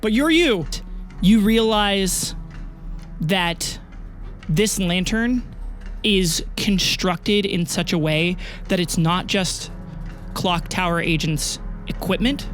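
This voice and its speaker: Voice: medium pitch, takes it slowly, a bit bright, soft background music. A game master narrating a tabletop roleplaying game with dramatic, casual, improvised storytelling.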